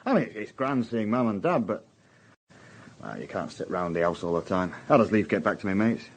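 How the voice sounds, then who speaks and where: An actor playing a plain-spoken young army private in a radio drama, with a Northern English accent and a hesitant, colloquial way of talking. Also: male voice, lowish voice, sing-song, fairly quick. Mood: awkward, guarded. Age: young adult. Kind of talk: naturalistic radio drama acting.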